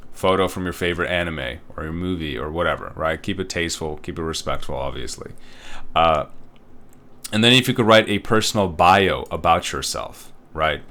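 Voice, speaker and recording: A man's voice: deep voice, fairly bright tone. A man in his thirties, a college instructor from Uzbekistan, walking students through an online course in a casual, rambling way, full of fillers and checking-in tags. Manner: casual, rambling, with many fillers and tag questions. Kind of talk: recorded online course walkthrough.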